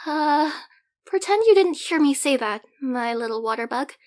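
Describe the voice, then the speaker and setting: A woman's voice: high-pitched, moderate pace. A woman playing a playful, cheeky young alien woman who is impulsive and doting, in a scripted fantasy audio roleplay. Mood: playful, gushing. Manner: teasing, expressive.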